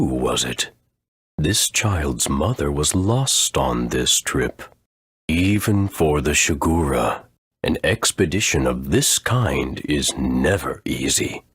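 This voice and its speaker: A man playing an aged, solemn creature elder in a video game, speaking slowly and formally with a husky rumble. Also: no echo, clean studio sound, heavily acted. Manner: slow, grave, formal.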